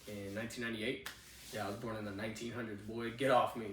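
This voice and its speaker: A man's voice: fairly low pitch, level tone, quick pace, echoey room, distant mic, rough recording. A man in his twenties, born in Cuba, chatting casually on a home podcast with a handheld mic in a spare bedroom. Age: 20s. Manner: laid-back, chatty, frequent "you know what I'm saying". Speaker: podcast host. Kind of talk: casual personal storytelling.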